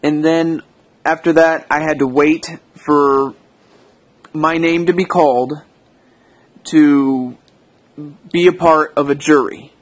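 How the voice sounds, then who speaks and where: An American man talks through an unscripted personal audio log in a slightly high voice. His delivery is slow and hesitant, wandering and doubling back to fix his own phrasing. The sound is dry, with very little room echo. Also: fairly even intonation. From United States. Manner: hesitant, rambling, self-correcting. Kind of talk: casual unscripted monologue.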